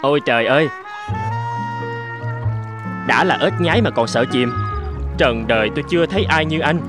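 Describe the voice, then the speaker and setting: A cocky cartoon frog, played by a man brags and sneers in a fairly high voice. The delivery is scornful and full of itself, over faint music. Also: lively intonation, moderate pace, lightly acted. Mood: scornful, boastful. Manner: bragging, scornful. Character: boastful cocky male frog. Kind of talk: cartoon fable dialogue.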